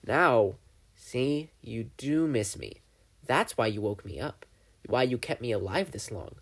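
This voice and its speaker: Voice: mid-range voice, animated, a little slow. A reader voicing a gleeful, menacing male triangle dream demon who taunts and mocks, in a fan fiction audiobook. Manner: taunting, theatrical, mocking.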